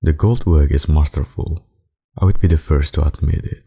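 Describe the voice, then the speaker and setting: A man voicing a suave, possessive, menacing vampire in an ASMR roleplay, with formal, courtly, seductive speech and a gravelly, husky voice. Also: a bass voice, moderate pace, dark tone, full character voice. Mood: courtly, insistent. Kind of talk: ASMR roleplay, dramatic monologue.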